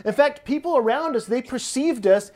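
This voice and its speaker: A man's voice: extremely high pitch, lively intonation, normal speed, a little mellow. American man preaching conversationally. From United States. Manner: conversational preaching with repeated phrases. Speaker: pastor. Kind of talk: sermon. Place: church sanctuary.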